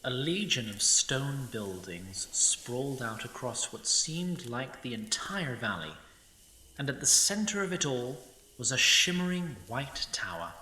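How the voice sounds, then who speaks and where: A man's voice: medium pitch, big pitch swings, a little slow, sharp tone, a little hiss or hum, exaggerated voice. A man voicing a wry, ageless sprite narrator. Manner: wry, opinionated asides. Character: snarky timeless male sprite narrator. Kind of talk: audio drama narration. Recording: roomy echo.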